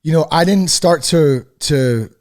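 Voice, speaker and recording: A man's voice: fairly high pitch, unhurried, a little mellow. A man hosting a podcast, chatting casually in an informal, slangy way with enthusiastic, affirming responses. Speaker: podcast host. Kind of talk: casual interview chat.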